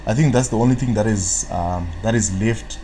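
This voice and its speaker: Low-voiced Zimbabwean man streaming live. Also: moderate pace, warm tone, slight background noise. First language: Shona. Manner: rambling, fills gaps with "what, what"; answers viewers' chat comments. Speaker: trading analyst. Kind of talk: live-stream market analysis.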